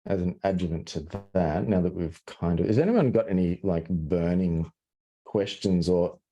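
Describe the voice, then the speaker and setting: Low, mellow Australian man presenting. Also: normal speed, lo-fi sound. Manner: hesitant, full of restarts and fillers. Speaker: webinar presenter. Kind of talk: conversational webinar presentation.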